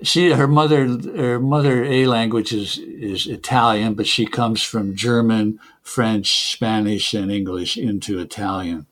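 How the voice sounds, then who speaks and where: A man's voice: lowish voice, fairly even intonation, a little slow, few pauses. Hesitant middle-aged Canadian-raised man. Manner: hesitant, repeats words, chuckles between points. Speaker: software developer guest. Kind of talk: casual interview answers.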